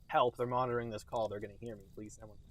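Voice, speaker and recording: A man's voice: medium pitch, level tone, very fast. A man hosting a podcast in casual, sarcastic comedic banter, joking in a hammy way. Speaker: podcast host.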